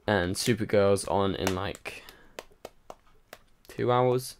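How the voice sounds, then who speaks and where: A man's voice: fairly low pitch, takes it slowly, a little hiss or hum. A tired man hosting a casual livestream, rambling and chatty with lots of fillers while answering viewer comments. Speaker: livestream host. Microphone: home microphone.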